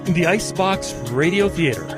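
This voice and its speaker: A male announcer delivers a scripted promo. His slightly high voice moves with lively intonation over a clear music bed. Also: moderate pace.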